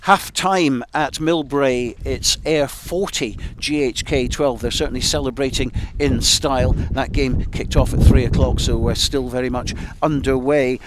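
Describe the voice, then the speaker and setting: A man's voice: mid-range voice, steady tone, a little slow, hardly any pauses. A man presenting live sport on radio, reading out a round-up of results. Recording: clear background noise, outdoor ambience.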